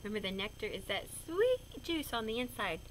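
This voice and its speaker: A woman's voice: a little high, sing-song, fairly quick, a little hiss or hum. A woman reading a picture book aloud to children, expressively, with simple explanatory asides. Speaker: read-aloud storyteller for children.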